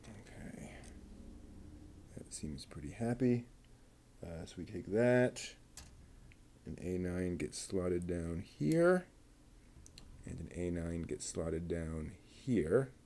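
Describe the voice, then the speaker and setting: A man giving casual running commentary while he builds a model kit, talking slowly and methodically and half to himself. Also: low voice, animated, warm tone, slight background noise. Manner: muttering to himself, slow and methodical.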